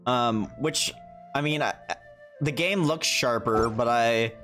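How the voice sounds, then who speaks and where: Male game streamer, medium pitch, laid-back and slangy, close mic, game music underneath. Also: takes it slowly. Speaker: game livestreamer. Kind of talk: casual livestream commentary.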